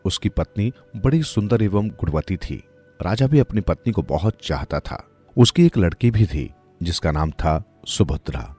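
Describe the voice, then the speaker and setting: A man's voice: deep voice, animated, measured pace, dark tone, slightly rough, soft background music. A man narrating a moral folk tale from a script, as a storyteller.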